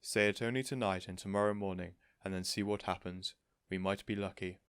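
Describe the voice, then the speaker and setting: A kindly storybook gentleman, played by a male reader in a lowish voice. He is courteous and earnest, eager to reassure and gently persuade. The recording is dry and close. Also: steady tone, fairly quick, smooth, no echo. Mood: coaxing. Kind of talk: storybook character voice.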